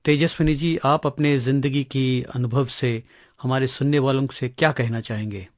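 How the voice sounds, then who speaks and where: An Indian man asking measured questions as a radio interviewer. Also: medium pitch, steady tone, dry recording, clean studio sound.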